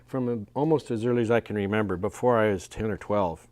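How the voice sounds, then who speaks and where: Older man, low voice, measured panelist. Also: a little mellow, no room sound. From Central Oregon. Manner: measured, thoughtful. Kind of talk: panel discussion.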